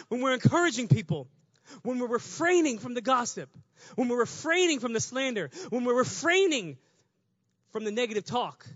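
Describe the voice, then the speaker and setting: High-voiced man preaching a sermon. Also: dry recording, lo-fi sound. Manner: conversational, fast, builds to shouting.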